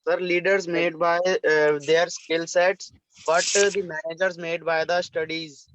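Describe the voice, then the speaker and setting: A young man with an Indian accent, a business student giving halting, deferential answers in an online class. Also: on the high side, flat delivery, fairly bright tone, a little hiss or hum. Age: young adult. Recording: dull lo-fi online call audio.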